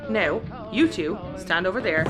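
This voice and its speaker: A woman's voice: medium pitch, animated, moderate pace, over loud music, natural voice. A woman playing a tough, dry, commanding spaceship captain with an Irish accent in a sci-fi audio comedy. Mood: stern.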